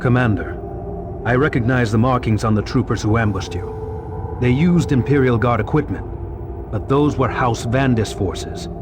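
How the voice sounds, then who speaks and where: Guy as a mid pitched earnest soldier giving a report, formal, lots of noise, game cutscene. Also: normal speed, lightly acted. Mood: earnest, informative. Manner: formal, explanatory military report. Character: earnest, observant male soldier reporting to commander. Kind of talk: video game cutscene voice acting.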